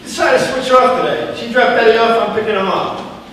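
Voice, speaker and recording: A man's voice: very high voice, a little fast, a bit bright. A man playing a casual, mildly defensive father in a live stage play. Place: theatre stage, live performance. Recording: echoey hall from far off, faint noise.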